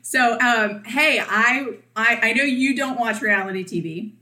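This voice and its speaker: A woman co-hosting a podcast in casual chat, talkative and quick to laugh, often acting out what others said. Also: a little high, sharp tone, roomy sound. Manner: chatty, laughs often, acts out quoted voices. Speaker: podcast co-host.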